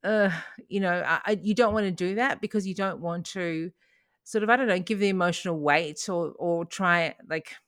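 A middle-aged woman talks in a relaxed, meandering way, restarting her sentences and laughing often. Her voice sits low for a woman, and the recording is close and dry. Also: normal speed, dry recording. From Australia. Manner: rambling, frequent restarts and laughs. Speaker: podcast co-host. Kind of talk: casual chat.

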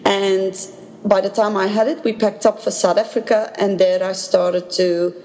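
A woman from Belgium, a native Dutch speaker, recounting her experiences as an interview guest in a measured, earnest way. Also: medium pitch, little pitch movement, a little mellow. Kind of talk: personal recollection in an interview. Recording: dull sound with long reverb.

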